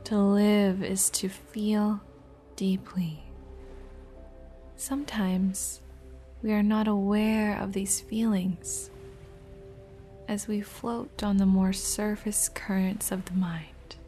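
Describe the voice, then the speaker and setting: Woman, guided meditation, soft breathy near-whisper, mellow tone, slow measured phrases, background music. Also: mid-range voice, flat delivery. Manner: gentle, measured, with long pauses between short phrases.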